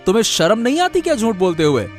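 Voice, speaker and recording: A man plays a wronged, indignant landowner in a cartoon moral tale. He scolds emphatically in a high voice, repeating himself for force. Music plays faintly behind him. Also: big pitch swings, fast talker. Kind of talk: Hindi moral-story cartoon dubbing.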